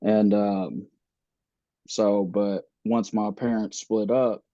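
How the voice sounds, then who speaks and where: A man in his thirties, born in the Philippines and raised in the US, telling his life story slowly with many pauses and fillers. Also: lowish voice, level tone, dark tone, dry recording, dull audio. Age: 30s. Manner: slow, halting, many fillers and restarts. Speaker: podcast guest.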